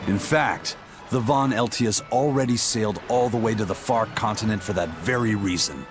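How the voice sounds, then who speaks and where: A stern, composed pirate officer from a game, acted by a man speaks in a low voice. The delivery is measured and matter-of-fact, with music clearly behind it. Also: close to an everyday voice. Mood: businesslike. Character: stern, composed male pirate first mate. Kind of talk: dramatic game voice acting.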